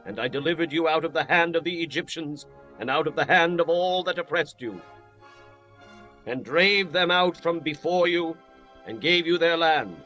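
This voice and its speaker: An actor playing a stern prophet, declaiming God's rebuke solemnly in a dramatized scripture reading. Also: male voice, fairly high pitch, normal speed, bright, forceful tone, faint music behind. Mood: stern, proclaiming.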